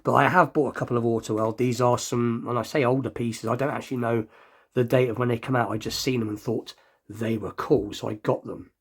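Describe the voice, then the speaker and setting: A man's voice: mid-range voice, steady tone, a little fast, husky. A man with a slightly nasal, stuffed-up voice from a cold, chatting casually and thinking aloud as he hosts a hobby unboxing video.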